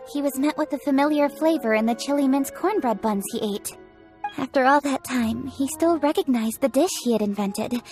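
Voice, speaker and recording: A woman's voice: high-pitched, a little mellow. A woman voicing a composed, earnest young woman in a video game, speaking courteously and precisely.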